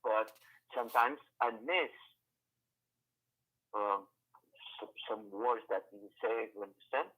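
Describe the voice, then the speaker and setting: A man whose first language is Portuguese, a student on a conference call, speaking English haltingly and searching for words. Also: lowish voice, slightly rough, narrow, tinny audio. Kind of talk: speaking up in class.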